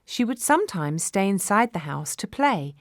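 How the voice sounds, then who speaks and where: Female narrator for children's fairy tales: mid-pitched, measured, expressive, very dry recording. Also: no room sound. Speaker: storyteller.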